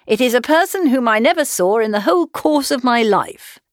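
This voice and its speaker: Audiobook role: woman doing an ironic older dad, lively pitch, dry close sound. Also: no echo, natural voice. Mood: wry. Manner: measured, wry and teasing. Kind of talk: audiobook reading.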